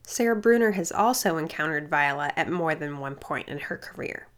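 A woman host reading scripted narration for a radio arts feature in a measured way. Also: deep voice, expressive.

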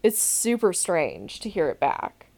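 A young woman with a deep voice, hosting a podcast in a candid, rambling way and laughing now and then mid-sentence. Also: huge pitch swings, unhurried, gravelly, darkish tone. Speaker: podcast host. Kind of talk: casual podcast chat.

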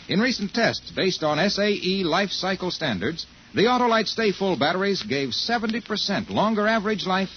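A man giving a jaunty, polished sales pitch as a commercial announcer on 1950s radio. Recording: dull vintage radio, faint noise.

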